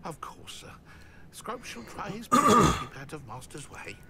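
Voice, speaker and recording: A cringing little house-elf servant in a video game stammers and calls himself by his own name. His voice sits high and gravelly, leaping all over the place. Game audio with steady noise behind. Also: moderate pace. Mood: servile. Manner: stammering, refers to himself in third person. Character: timid servile male house-elf servant.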